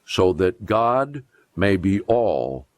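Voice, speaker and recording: A man with a fairly low voice reads scripture in a solemn, deliberate way. His pitch moves in a lively, expressive range as he stresses key phrases. He takes his time, leaving measured pauses between phrases. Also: dry recording, studio quality. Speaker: pastor reading scripture.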